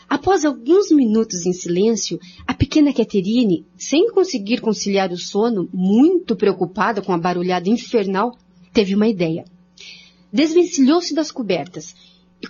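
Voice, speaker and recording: Husky, fairly high female radio narrator. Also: mellow. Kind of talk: scripted dramatic narration of a radio serial. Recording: dull recording with music.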